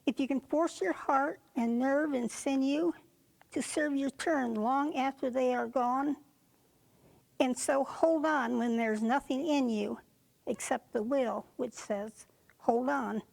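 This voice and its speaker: A woman reciting a poem for a reader's theater in deliberate phrases set apart by pauses. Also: high voice, vocal fry, a little mellow, rough recording. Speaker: poetry reader.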